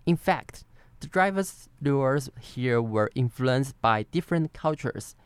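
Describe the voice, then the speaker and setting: Clear-voiced Taiwanese man telling a story. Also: medium pitch, animated, a little slow, no echo. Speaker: podcast co-host. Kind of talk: semi-scripted personal storytelling.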